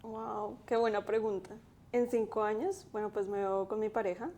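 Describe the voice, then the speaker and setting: A woman's voice: medium pitch, fairly even intonation, normal speed, warm tone. A woman, a graphic designer guest telling her story thoughtfully with many fillers. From Colombia. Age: 20s. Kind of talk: interview.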